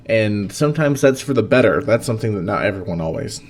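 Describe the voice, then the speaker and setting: A man's voice: fairly low pitch, animated, quick pace, darkish tone. A man chatting casually as a co-host, blunt and informal in the way he talks. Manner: blunt, informal, swears freely. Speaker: podcast co-host. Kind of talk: casual conversation.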